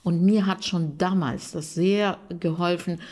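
A woman's voice: on the low side, fairly even intonation, unhurried, darkish tone. A middle-aged woman from Russia with a Russian accent, a language teacher talking reflectively in an interview and searching for words.

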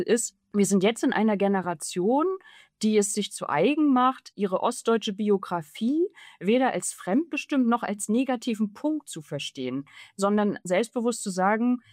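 Woman, thirties, book author, lively run-on talk, mid pitch, dry. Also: no room sound. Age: 30s. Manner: animated, talks in long run-on sentences. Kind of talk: interview answer.

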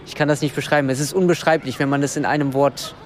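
A man's voice: fairly high pitch, steady tone, quick pace. A young man from Germany, speaking reflectively and searching for words in an interview. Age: young adult.

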